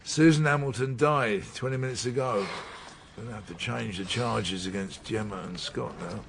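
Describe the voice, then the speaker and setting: An actor playing a weary, wry older police detective with a dry, understated manner. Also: male voice, fairly low pitch, normal speed, creaky, fairly bright tone, a little hiss or hum. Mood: grim, weary. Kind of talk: naturalistic radio drama dialogue.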